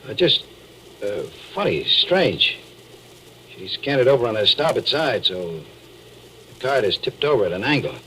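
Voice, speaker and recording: An actor playing a haunted, nervous deep-sea diver who narrates his ordeal haltingly in 1940s radio drama style. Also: big pitch swings, a bit bright, slight background noise, rough recording. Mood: brooding, dreamy. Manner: halting, hesitant, brooding. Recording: old 1940s radio recording with music.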